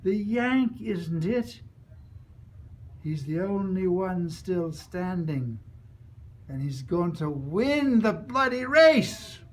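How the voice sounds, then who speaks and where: A man voicing an astonished father at a race, loud and exclamatory. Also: high-pitched, slow, exaggerated voice. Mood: astonished, excited. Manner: excited, exclamatory.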